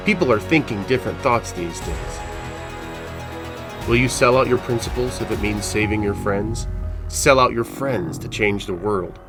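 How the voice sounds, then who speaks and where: A man giving scripted narration for an audio drama intro in a measured, grave way. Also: fairly low pitch. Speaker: series narrator. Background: music.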